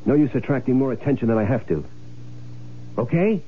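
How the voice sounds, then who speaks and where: An actor playing a broke, cocky schemer in a radio drama, with a wry, hardboiled, breezy delivery, both in dialogue and first-person narration. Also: male voice, mid-range voice, animated, fairly quick, husky. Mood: brisk, furtive. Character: broke, cocky male schemer who faked his death. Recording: tinny radio broadcast with loud music.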